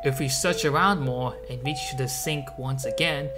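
Narrator of a video essay over loud music. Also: mid-range voice, moderate pace. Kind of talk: scripted narration, video essay on a visual novel.

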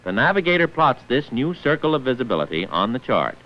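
A man's voice: mid-range voice, big pitch swings, brisk. A man narrating a scripted 1940s instructional film in a formal, precise manner, on an old muffled film soundtrack. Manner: formal, precise enunciation of figures.

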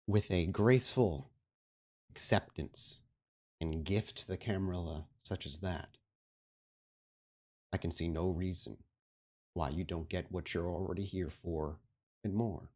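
A man playing a stern, calculating vampire prince in a tabletop game, speaking formally and deliberately. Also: low-pitched, a little mellow. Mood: persuasive, grave. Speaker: storyteller playing a character.

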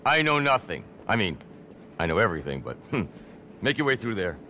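A vain middle-aged nightclub impresario for a video game. He is flamboyant and frazzled, swinging widely in pitch with a sharp, forceful edge, and swears with theatrical relish. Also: sing-song, brisk, a little hiss or hum. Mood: brisk, upbeat. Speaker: nightclub owner. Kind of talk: video game cutscene acting.

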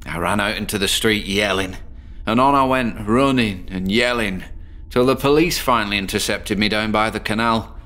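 An actor playing a terrified, paranoid male patient in a horror audio drama, speaking haltingly and sliding into panic. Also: on the low side, pushed and bright. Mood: haunted. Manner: slow halting speech breaking into panic.

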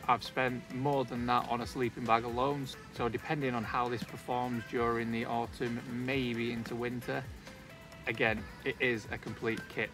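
A man, casually reviewing outdoor gear while sitting outdoors in a wood, talking to a camera on a tripod. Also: medium pitch. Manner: chatty, relaxed, light joking asides. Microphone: camera on a tripod, also handheld selfie while walking.

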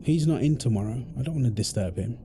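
A man playing an affectionate, tired husband and father in an intimate audio roleplay, talking tenderly with soft endearments. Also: mid-range voice, lively intonation, fast, natural voice. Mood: caring, relaxed. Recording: clear background ambient noise.

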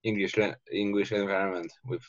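Polish-accented male student, low steady delivery, hesitant, dull call audio. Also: no echo. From Poland. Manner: halting, restarts words. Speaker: student asking a question. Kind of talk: video call question.

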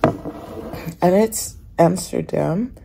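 A woman vlogging casually from a hotel room in the morning, her voice raspy and often dropping to a whisper. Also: fairly low pitch, drawn-out words, rough recording. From Netherlands. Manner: soft, often whispering in the dark.

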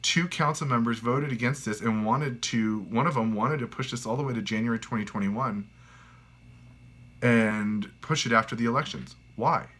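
A man's voice: medium pitch, moderate pace, a bit bright, breathy edge. A man in his thirties from California, a city council candidate talking earnestly to camera at home in a campaign vlog, with frequent restarts and fillers. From California, USA. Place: bedroom at home, indoors.

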